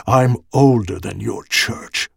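A man's voice: medium pitch, slow pace, creaky, breathy edge, dry recording, clean studio sound, exaggerated voice. A man voicing a cold, vengeful, ancient undead executioner in an audiobook, speaking with emphatic, menacing condemnation. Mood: cold vengeful wrath. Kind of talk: dramatic audiobook character dialogue.

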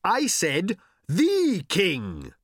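Give the old mystic , played by a man, a raised, booming shout with drawn-out words. He is urgent and commanding, an aged man in an audiobook tale, pitched well up and recorded close and dry. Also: wildly swooping pitch, dry recording, heavily acted.

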